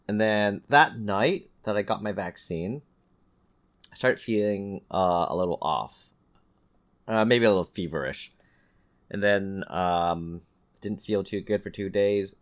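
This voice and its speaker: A man telling stories in casual, animated podcast banter as a host, with some swearing. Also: low-pitched, measured pace. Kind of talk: casual chat, storytelling.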